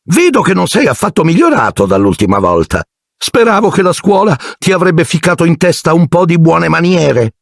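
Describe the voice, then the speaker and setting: A man doing a shrill bossy aunt. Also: big pitch swings, moderate pace, vocal fry, no room sound, clean studio sound, heavily acted. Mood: scolding. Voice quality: shrill barking falsetto. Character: bossy loud middle-aged aunt, domineering.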